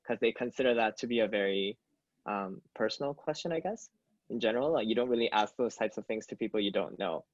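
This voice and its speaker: A man's voice: lowish voice, fast, dry recording. Young man, from Canada, hesitant casual chat, muffled dry call audio. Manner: hesitant, many fillers and restarts. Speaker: video call participant. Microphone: video call.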